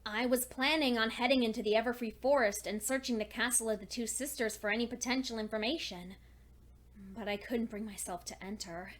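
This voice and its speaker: A woman voicing a lonely, studious female pony who clings to hope, reading her diary entries in an earnest, deliberate, dramatic fan fiction style. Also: fairly high pitch, natural voice.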